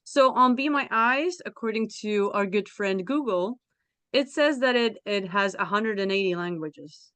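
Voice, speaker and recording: A woman's voice: medium pitch, a little slow, a bit bright, dry recording. Female discussion host, from Flanders, clean resonant tone, dry sound, friendly and casual. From Flanders, Belgium.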